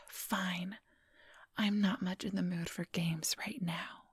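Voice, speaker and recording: Casting: woman to play a controlling vampire seductress for ASMR audio drama, unhurried, heavily whispered, coaxing yet commanding. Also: lowish voice, steady tone, no echo, studio quality, exaggerated voice. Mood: scornful, probing. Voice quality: breathy whisper. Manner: slow, condescending, coaxing, commanding. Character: menacing female vampire, coolly hypnotic and controlling. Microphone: close, dry microphone.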